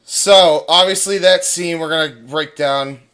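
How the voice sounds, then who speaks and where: A man's voice: fairly high pitch, measured pace, bright, forceful tone, clean tone. A man chatting casually as co-host and writer on a livestream breakdown.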